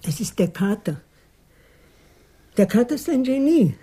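An actor voicing a small, evasive police informant in a radio crime drama, hesitant and defensive, repeating words, with a husky voice. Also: medium pitch, very animated, a little slow, dark tone, exaggerated voice. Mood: confiding, admiring. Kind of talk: 1980s German radio play acting.

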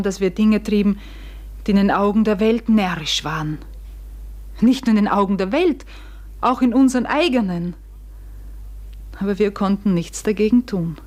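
An actress playing a farmer's wife who recounts an uncanny event with wonder, in measured, literary radio play narration. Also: female voice, medium pitch, darkish tone, close to an everyday voice. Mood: wistful, marvelling. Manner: measured, literary, deliberate delivery.

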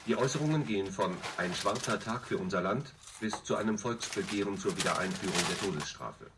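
A man's voice: on the low side, animated, a little fast, very noisy. A male actor playing a sober television news anchor, reading scripted reports in a radio drama. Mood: sober, official.

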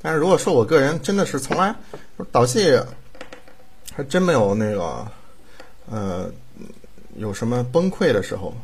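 A man's voice: medium pitch, lively intonation, moderate pace, pauses often, a little hiss or hum. A man chatting casually as a drama director, rambling and repeating himself for emphasis. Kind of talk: casual chat.

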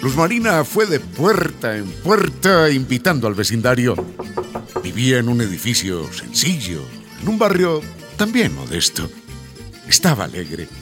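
A man's voice: medium pitch, very animated, unhurried. A man narrating a radio serial as a scripted, dramatic storyteller. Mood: storytelling. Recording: music under the narration.

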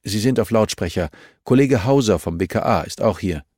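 A man's voice: on the low side, lively intonation, moderate pace, a little mellow, no room sound, studio quality. A man voicing a businesslike female investigator in an audiobook, firm, wry and politely insistent. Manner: matter-of-fact, politely insistent.

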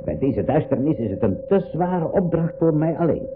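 A man's voice: on the high side, big pitch swings, moderate pace, over loud music. An actor playing an older male professor and captain, a worried leader in a 1980s school radio drama. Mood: alarmed, worried. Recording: dull radio recording with clear noise.

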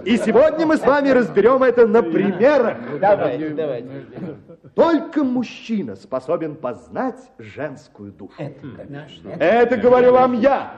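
A pompous bachelor orator. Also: male voice, very high-pitched, sing-song, measured pace, pauses often, full character voice. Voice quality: grandiloquent, declaiming, chuckling. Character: pompous bachelor orator, self-styled writer. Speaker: speaker at a bachelors' club meeting. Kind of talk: radio play acting. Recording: slightly dull radio recording, crowd murmur. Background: murmuring male audience.

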